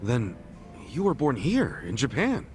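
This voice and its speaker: An actor playing a brash, good-hearted ex-yakuza in his forties for a video game, with a casual, blunt, slangy and expressive delivery. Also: medium pitch, measured pace, soft background music. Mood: astonished. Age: 40s. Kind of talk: English video game voice acting.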